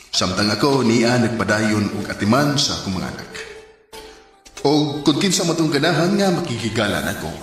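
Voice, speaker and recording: An actor with a Cebuano Filipino accent playing a meek, soft-hearted farmer who pleads and apologises in a radio drama. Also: male voice, fairly low pitch. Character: meek, pleading farmer husband, devoted father.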